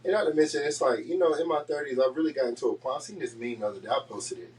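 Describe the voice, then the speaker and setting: A man, talking fast and loose on a podcast, with lots of fillers. His voice has a middle pitch and sits a little away from the mic. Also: a little mellow, distant mic. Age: 30s. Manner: fast, filler-heavy talk with many "like"s. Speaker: podcast co-host. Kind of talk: casual chat.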